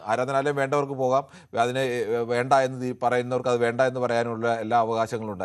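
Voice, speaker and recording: A man hosting a live discussion show, reading out viewer comments and answering them argumentatively. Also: medium pitch. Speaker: TV phone-in discussion host.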